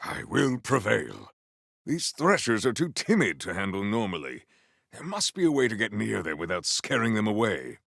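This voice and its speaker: An actor playing a solemn, valiant warrior hero in a video game, speaking in formal, archaic, grandiose phrases. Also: male voice, lowish voice, sing-song, normal speed, gravelly, fairly bright tone, no room sound, studio quality, full character voice. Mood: stoic, formal. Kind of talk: theatrical video game character lines.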